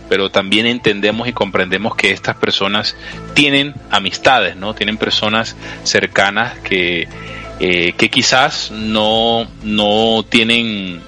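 A man hosting a live radio talk show, speaking earnestly with pauses and small restarts. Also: fairly low pitch, bright, forceful tone. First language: Spanish.

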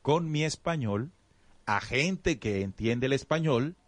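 Soft-spoken man explaining spiritual ideas. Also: mid-range voice, animated, slow pace, no room sound. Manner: reflective, explanatory, with many restarts; addresses the audience as "usted". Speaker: spiritual teacher. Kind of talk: conversational teaching on a show.

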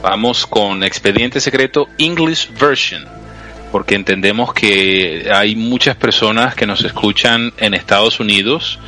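A man hosting a live radio talk show, speaking earnestly with pauses and small restarts. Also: on the low side, sharp tone, soft background music. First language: Spanish.

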